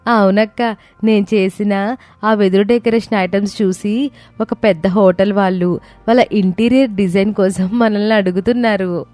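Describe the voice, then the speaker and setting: Woman playing an encouraging, mellow young daughter-in-law. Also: mid-range voice, lightly acted. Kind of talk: moral story character acting.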